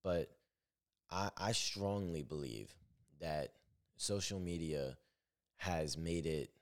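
A young man with a naturally deep voice hosting his own podcast, talking candidly in a rambling, self-correcting way. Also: expressive, takes it slowly. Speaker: podcast host. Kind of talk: solo reflective podcast monologue.

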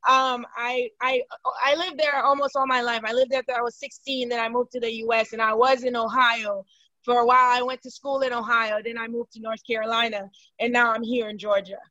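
Bright, high-voiced woman from Haiti. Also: no room sound, dull audio. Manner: lively, laughs often, speaks in quick bursts. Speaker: guest, business owner. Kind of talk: casual interview chat.

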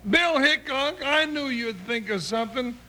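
An actor playing an excitable, folksy cowboy sidekick with an American accent in an old-time radio western, nervous and given to grumbling exclamations. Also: male voice, very high voice, a little slow, exaggerated voice. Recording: noisy old radio recording.

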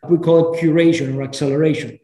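A man's voice: fairly high pitch, fairly even intonation, fast talker, mellow. A man from Venezuela, speaking earnestly as an interview guest and often restarting his phrases. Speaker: guest, program founder. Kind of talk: casual interview talk. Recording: roomy echo.

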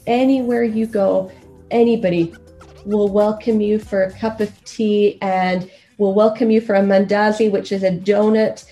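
This is a woman from Kenya in a conversational podcast interview. Her voice is warm and relaxed in timbre, sitting at an ordinary pitch. She talks in a personal, storytelling way. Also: normal speed, soft background music. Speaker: podcast guest.

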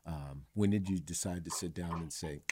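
A male interviewer with a very low, dark, mellow voice. He eases into his questions slowly, leaning on "uh" and "you know" as he goes. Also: a little hiss or hum. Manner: hesitant questions with fillers. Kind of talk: casual interview.

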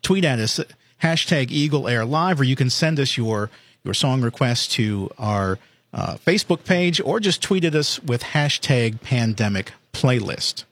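A man's voice: medium pitch, expressive, unhurried, a bit bright, no room sound. A man hosting a live college radio show in an upbeat, chatty way with frequent fillers. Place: radio studio.

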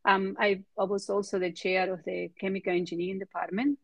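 A middle-aged woman with an Argentine accent and Spanish as her first language, answering interview questions conversationally in halting phrases with repeated words. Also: mid-range voice, flat delivery, gravelly, no room sound. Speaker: interview guest, biotech executive.

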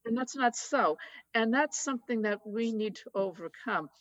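A woman with a Boston accent, talking as a guest in a casual conversation, chatty and quick to laugh. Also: fairly high pitch, expressive, dry recording, lo-fi sound. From United States. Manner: chatty, laughs easily, many fillers.

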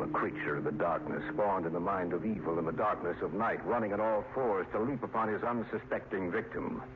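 An actor playing a brooding townsman who gravely narrates a horror tale in a 1950s radio drama. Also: low-pitched, natural voice. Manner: grave, deliberate, ominous storytelling. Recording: noisy, muffled 1950s radio recording.

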